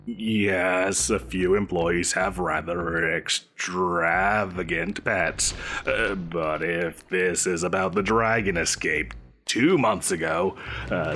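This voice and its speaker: A man's voice: low voice, pushed and bright, exaggerated voice. An actor playing a pompous, flustered supervillain boss in an audio roleplay, theatrical and haughty, stammering when he gets defensive. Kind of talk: scripted audio roleplay acting.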